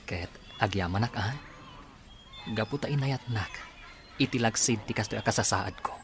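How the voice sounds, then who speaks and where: A man's voice: fairly low pitch, normal speed, noisy background, close to an everyday voice. Humble, earnest man in love played by a man. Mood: tender, grateful. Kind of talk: Filipino radio-style drama dialogue.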